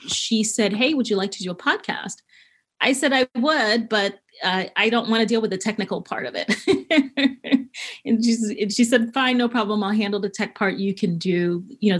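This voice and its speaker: A woman's voice: mid-range voice, husky. Middle-aged woman from Haiti telling stories. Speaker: podcaster and filmmaker being interviewed. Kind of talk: conversational interview storytelling.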